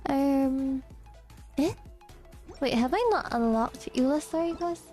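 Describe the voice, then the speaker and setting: Giggly Singaporean woman streamer, high voice. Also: takes it slowly, mellow, soft background music. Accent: Singaporean English. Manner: giggly, chatty, talks back to the game and chat. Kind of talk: casual gaming livestream chat.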